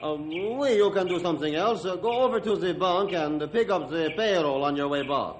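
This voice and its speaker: An actor playing a jovial, trusting lumber camp boss in broken English, in old-time radio style. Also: male voice, high-pitched, moderate pace, natural voice. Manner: broken English, tags questions with eh. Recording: noisy old radio recording.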